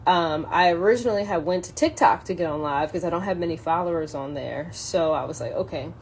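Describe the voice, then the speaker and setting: Lower-pitched woman, halting, with background noise. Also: darkish tone. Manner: halting, thinking aloud. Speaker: social media live streamer. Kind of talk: casual solo livestream with passages read aloud from a book. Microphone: phone propped up in a window. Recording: clear background noise, ambient sound.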